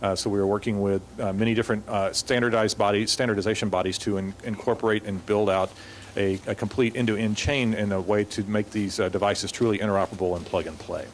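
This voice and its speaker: A man moderating a conference panel, rushing through with many fillers and restarts. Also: on the low side, steady tone, slight background noise. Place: conference hall.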